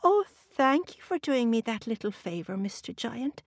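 A woman's voice: on the high side, big pitch swings, normal speed, no echo, clean studio sound, pitched above the performer's own voice. A woman voicing a clever, sweet-talking housewife in a told folktale, coaxing and falsely polite.

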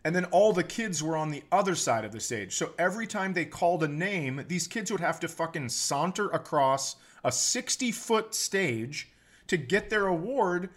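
A man's voice: on the high side, animated, moderate pace, fairly bright tone. A middle-aged American man telling stories casually on a comedy podcast, exasperated and freely swearing. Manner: exasperated, profane ranting. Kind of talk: casual comedic storytelling chat.

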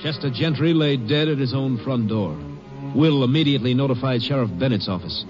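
A man's voice: mid-range voice, expressive, brisk. An American man narrating a 1950s radio crime drama in terse, formal style. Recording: muffled vintage radio recording. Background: loud dramatic music.

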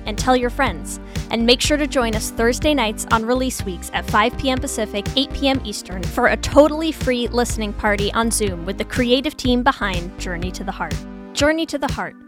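Higher-voiced woman announcer over prominent music. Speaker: podcast announcer. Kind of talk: scripted narration.

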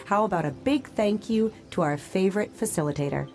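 A woman's voice: mid-range voice, animated, brisk, a little mellow, faint music behind, natural voice. A woman playing an upbeat, welcoming holographic AI assistant leading a student tour in an audio drama. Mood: bright, rallying. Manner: polished, welcoming tour-guide delivery. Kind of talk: audio drama acting, cheerful presentation.

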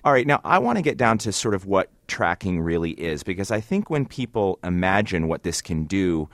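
A man's voice: low-pitched, very animated, normal speed, dry recording. A man hosting a broadcast interview in a conversational style. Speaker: radio interviewer, host.

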